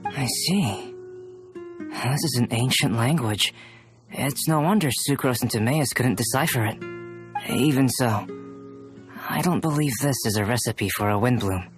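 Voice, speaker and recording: A young male alchemist from a video game voiced by a man, composed and courteous. His delivery is measured and analytical, with faint music behind him. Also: mid-range voice, close to an everyday voice. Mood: calm, analytical. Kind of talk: video game character acting.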